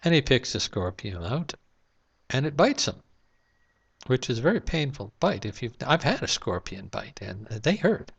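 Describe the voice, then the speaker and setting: A man's voice: mid-range voice, big pitch swings, moderate pace, creaky, husky, dull audio. A man in a casual, reflective monologue to camera at home at night, rambling with lots of fillers. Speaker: vlogger. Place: home room at night, lamp-lit.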